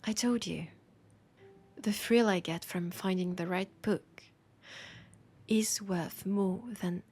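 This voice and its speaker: A woman with a French accent playing a romantic, teasing bookseller in a soft, breathy, intimate roleplay audio. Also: mid-range voice. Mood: fond, playful. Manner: slow, breathy, whispery, tender and playful. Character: romantic, teasing female bookseller fond of a vampire customer. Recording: music behind the voice. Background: music.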